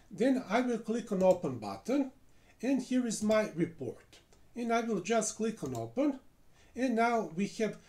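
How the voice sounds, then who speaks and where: Lively-pitched man narrating a software walkthrough. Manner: step-by-step narration with frequent "uh" fillers. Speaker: software tutorial presenter. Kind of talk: screen-recorded software tutorial.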